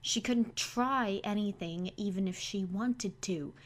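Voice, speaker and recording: A woman playing a brisk, easily exasperated pegasus lieutenant in an audio drama, matter-of-fact and a little irritable. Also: medium pitch, close to an everyday voice.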